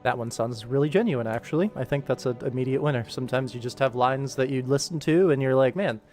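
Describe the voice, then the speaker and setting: A man talks casually as the host of an animation work stream. His pitch sits in the middle for a male voice, and he is chatty, detail-focused and self-deprecating. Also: quick pace, a little mellow, soft background music. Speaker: animation creator and livestream host. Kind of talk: casual livestream work commentary.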